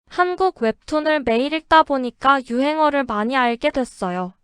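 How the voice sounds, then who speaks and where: A woman reading example sentences slowly and carefully for a shadowing lesson. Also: sits high, dry recording, studio quality. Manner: careful, even, deliberate delivery. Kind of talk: slow, clear model-sentence reading for shadowing.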